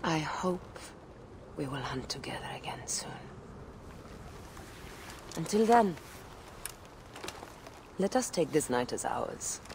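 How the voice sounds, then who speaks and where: A woman's voice: deep voice, expressive, slow, creaky, long pauses, background noise, exaggerated voice. An actress playing a devoted, dutiful woman warrior in a game cutscene, speaking tenderly and earnestly.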